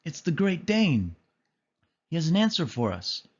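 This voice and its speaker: A man's voice: fairly high pitch, big pitch swings, measured pace, slightly rough, muffled recording, pitched above the performer's own voice, full character voice. A man voicing an earnest, hopeful dalmatian dog in a storybook, calling out urgently and theatrically.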